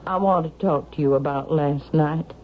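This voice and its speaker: Casting: a woman as a haughty elderly Southern matriarch, low voice, sharp and demanding, old radio drama on a dull tape. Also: normal speed, lightly acted. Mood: cold, accusing. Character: proud, imperious, suspicious elderly Southern mother, wealthy widow. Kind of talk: vintage radio drama acting. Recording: dull vintage radio broadcast, faint noise.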